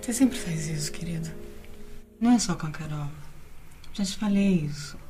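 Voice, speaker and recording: Actress as hushed, reproachful wife; low, mellow tone. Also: female voice, moderate pace, soft background music, lightly acted. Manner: soft-spoken, half-whispered. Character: calm, reproachful wife scolding her husband.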